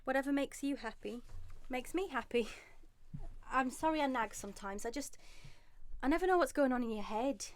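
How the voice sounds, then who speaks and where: A woman's voice: high-pitched, moderate pace, close to an everyday voice. A woman playing an anxious, loving young wife and mother in a radio drama. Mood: concerned, gentle. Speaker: actress and narrator in a radio drama. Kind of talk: radio drama acting, dramatic first-person narration.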